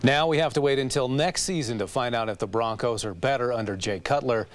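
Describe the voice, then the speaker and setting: A man's voice: medium pitch, brisk. A man with an American accent delivering scripted news as an anchor.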